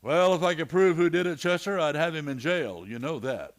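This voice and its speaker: A man's voice: a little high, a little fast, lightly acted. A man playing a weary, dogged Old West marshal in a radio play, terse and questioning. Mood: resigned. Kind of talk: western radio play acting.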